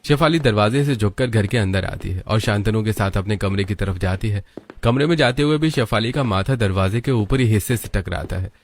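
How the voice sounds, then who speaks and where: A man's voice: fairly low pitch, slight background noise. A man narrating a scripted family cartoon story.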